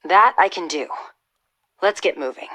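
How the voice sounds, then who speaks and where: An actress playing a determined young covert operative in a game cutscene, clipped and dry. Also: female voice, fairly low pitch, lively intonation, measured pace, background noise. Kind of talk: video game cutscene acting.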